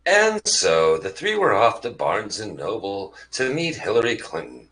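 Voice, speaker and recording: A man's voice: fairly low pitch, wildly swooping pitch, unhurried, a bit bright, a little hiss or hum, rough recording. A man narrating comedy sketches in a theatrical, mock-announcer style.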